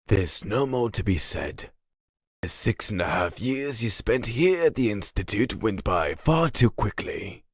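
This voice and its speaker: A man playing a formal, patronising institute doctor in a comic dub, speaking in a measured, husky voice. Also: on the low side, very animated, no echo, exaggerated voice. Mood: formal, reassuring. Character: formal, smooth-talking, satisfied male institute doctor.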